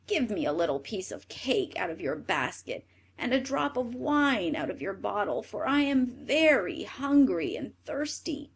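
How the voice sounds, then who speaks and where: A woman voicing a kindly, polite little gray old man from a storybook, with measured speech. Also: mid-range voice, very animated, slightly rough, heavily acted. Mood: pleading.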